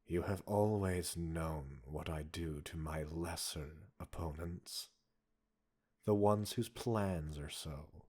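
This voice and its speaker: A man playing a cold, condescending villain scientist in an audio roleplay, a possessive captor speaking in a measured, mocking, formal way. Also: low voice, darkish tone, breathy edge, full character voice. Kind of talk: dramatic audio roleplay acting addressed to listener.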